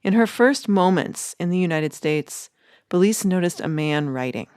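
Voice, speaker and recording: A woman's voice: fairly low pitch, animated, normal speed, darkish tone, smooth, no room sound, studio quality. A woman reading scripted narration as the host of a podcast.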